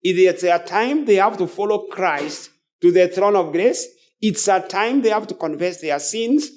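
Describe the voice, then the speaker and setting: A male preacher with a high-pitched voice delivers a sermon. He speaks earnestly and admonishes his listeners, repeating key phrases to drive them home. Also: a little slow, a little mellow. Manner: earnest, emphatic repetition and admonishing.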